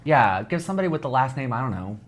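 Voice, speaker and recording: A podcast co-host chatting casually with a friend in a playful, excitable, rambling way. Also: medium pitch, fast talker, slight background noise. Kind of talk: casual chat between friends.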